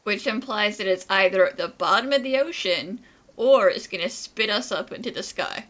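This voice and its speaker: A woman playing a quick-witted traveling adventurer in a video game, with a wry, expressive delivery. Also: mid-range voice, natural voice.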